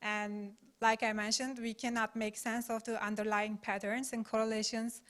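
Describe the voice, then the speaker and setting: A woman from Turkey, a postdoctoral researcher, giving a conference talk in a measured, careful way with frequent pauses. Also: on the high side, flat delivery, fairly bright tone. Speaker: invited speaker, postdoctoral researcher. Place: meetup room.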